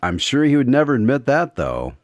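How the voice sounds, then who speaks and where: A man's voice: mid-range voice, huge pitch swings, brisk, dry recording, highs cut off. A man hosting a radio drama as announcer, speaking in scripted narration. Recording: loud piano music behind voice. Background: piano music.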